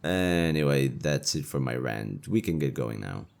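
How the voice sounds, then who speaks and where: A man's voice: a bass voice, animated, measured pace, gravelly, a little mellow, exaggerated voice. A man playing a young elven leader and playful, affectionate boyfriend in a romantic audio roleplay, talking in a casual, teasing way. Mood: earnest, idealistic.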